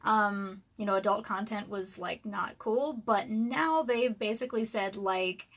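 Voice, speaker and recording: A woman in her thirties with a scratchy voice, rambling casually on a livestream from her dark room at home, with lots of ums and little laughs. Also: mid-range voice, a little slow. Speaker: author and livestream host. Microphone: webcam livestream.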